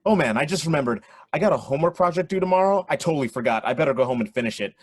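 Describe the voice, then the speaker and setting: A man's voice: a little high, animated, racing. A man playing an awkward, modest teenage boy in a radio play, talking in a hesitant, stumbling way. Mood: flustered.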